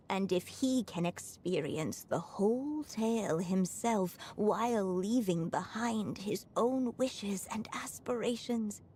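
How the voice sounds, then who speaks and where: An actress playing a gentle, earnest mother and writer in a video game, speaking softly and in measured phrases. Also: fairly low pitch, slight background noise. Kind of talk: scripted video game voice acting.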